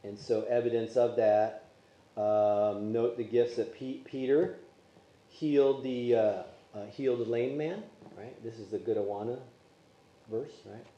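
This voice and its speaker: A man's voice: mid-range voice, steady tone, a little slow, mellow, lo-fi sound. A man teaching a Sunday school Bible class, asking the class questions as he goes, with Polish as his first language. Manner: conversational, asks the class questions. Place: church classroom. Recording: echoey room.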